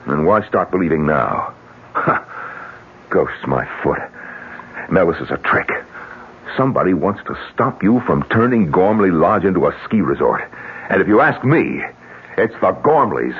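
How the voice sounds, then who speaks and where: An actor playing a blunt, practical businessman with a husky voice and a forceful, combative manner in an old radio drama. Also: low voice, big pitch swings, unhurried, long pauses, full character voice. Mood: determined. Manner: forceful, emphatic, rhetorical. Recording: tinny, narrow old radio recording.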